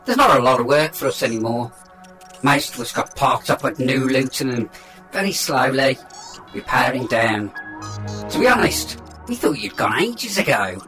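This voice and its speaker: A woman's voice: extremely low pitch, animated, moderate pace, fairly bright tone. A woman playing a dryly chatty, understated retired robot in an audio comedy drama. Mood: matter-of-fact. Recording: music behind the voice.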